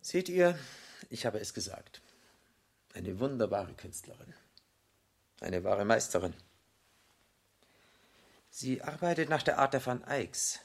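An actor playing a suave, courteous devil in a German radio drama, speaking with polite, ceremonious smoothness. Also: male voice, mid-range voice, big pitch swings, slow pace, long pauses, full character voice. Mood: admiring, self-assured. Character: suave, courteous male devil posing as a foreign gentleman.

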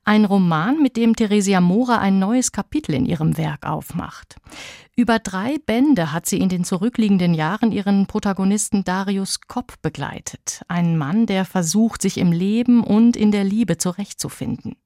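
A woman's voice: mid-range voice, moderate pace, mellow, no room sound. Composed female radio host, dry studio. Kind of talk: scripted radio presenting.

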